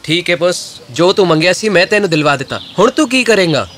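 A poor earnest young lad in a fairytale cartoon, highish voice, music behind. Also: male voice, lively intonation, fast talker. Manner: earnest, easily amazed. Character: poor, anxious, good-hearted young master of a cat. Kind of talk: children's fairy tale cartoon dub.

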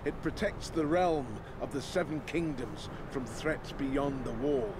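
An actor playing a stern old lord commander, giving a solemn, deliberate speech to his sworn brothers in a fantasy game. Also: medium pitch, background noise, close to an everyday voice. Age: older. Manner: grave, deliberate, oratorical. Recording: game audio with clear background ambience.

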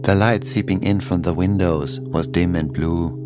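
A man's voice: deep voice, fairly even intonation, normal speed, over music. A man narrating a scripted first-person horror story as a podcast host.

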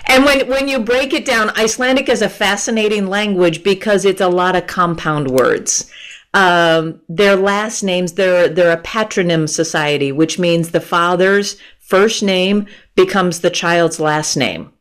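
A woman's voice: fairly low pitch, a little slow. A woman in her fifties, a guest author on a video call, explaining things patiently and laughing often. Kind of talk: conversational interview. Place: room with bookshelves. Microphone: earphone mic on a video call.

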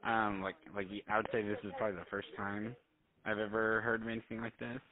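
A man speaking as a doctor on a phone call, polite, halting and hesitant. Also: fairly low pitch, little pitch movement, a crowd in the background. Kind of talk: phone consultation. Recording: tinny phone line.

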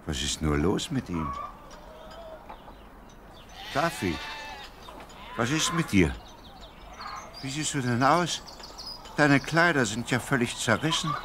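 An actor playing a humble, middle-aged farmer and worried father in an earnest, expressive Bible audio drama. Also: mid-range voice, noisy background. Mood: worried waiting, then alarmed, caring.

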